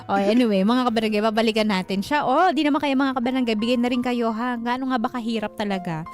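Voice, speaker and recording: A woman with a Filipino accent hosting a casual call-in radio show, chatty and animated, talking straight to her listeners. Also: a little high, fast. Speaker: radio host. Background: music.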